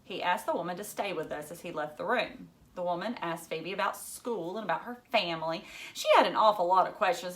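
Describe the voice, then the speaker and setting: A woman narrating a children's novel aloud in an expressive read-aloud style. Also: mid-range voice.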